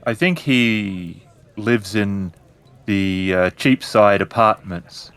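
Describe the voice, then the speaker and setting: A man playing a polite, cautious innkeeper in a samurai-era tabletop story, with a deferential, measured way of talking. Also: lowish voice, fairly bright tone, smooth. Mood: guarded, helpful.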